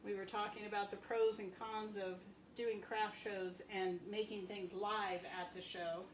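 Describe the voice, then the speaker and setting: A middle-aged woman with a sniffly cold, chatting her way through a live craft demo and softly exclaiming as she works. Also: mid-range voice, little pitch movement, unhurried. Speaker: marbling artist streaming live. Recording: echoey room with faint background noise.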